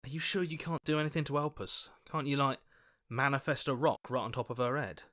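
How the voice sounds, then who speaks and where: A man's voice: medium pitch, fairly even intonation, a little fast, pushed and bright, lightly acted. A man playing an anxious, earnest hero in a video game, questioning and pleading like a voice of conscience. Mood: wry, worried. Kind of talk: video game character voice acting.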